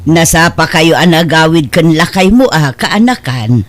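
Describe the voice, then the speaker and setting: A woman's voice: sits low, lively intonation, a little slow, no echo. An Ilocano-accented woman playing a frank older aunt who keeps a village store, in an audio drama. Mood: chiding. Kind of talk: Ilocano radio drama dialogue.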